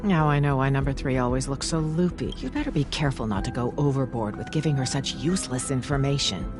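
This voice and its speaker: An actor playing a cold, impatient research colleague in a video game, curt and skeptical, with music behind him. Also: male voice, medium pitch, quick pace, lightly acted. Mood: dry, skeptical. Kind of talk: video game dialogue acting.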